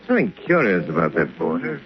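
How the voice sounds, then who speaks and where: An actor playing a suave, wealthy, urbane dilettante in a 1930s radio drama. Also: male voice, sits low, very animated, over music. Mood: urbane, relaxed. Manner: urbane, unhurried, genteel. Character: suave wealthy male dilettante, secretly a crime fighter. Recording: noisy, muffled 1930s radio recording.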